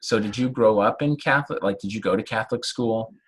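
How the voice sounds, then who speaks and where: A man's voice: fairly low pitch, fairly quick, husky. A man hosting a podcast interview, asking teasing questions and often chiming in with short replies. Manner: teasing questions, frequent backchannel. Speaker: podcast host.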